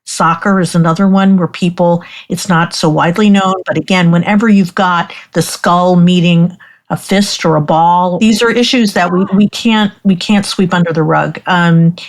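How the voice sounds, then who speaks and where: Woman, physician, measured and explanatory, low-pitched. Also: fairly even intonation. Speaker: doctor, guest. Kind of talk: interview conversation.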